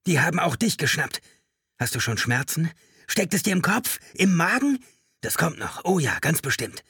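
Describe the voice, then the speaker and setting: A man voicing a frantic, paranoid hostage-taker in agony for an audiobook, with a high, trembling, strained voice, ranting and accusing. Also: big pitch swings, moderate pace, sharp tone, slightly rough, no room sound, clean studio sound, exaggerated voice. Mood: manic, accusing. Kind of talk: audiobook character acting.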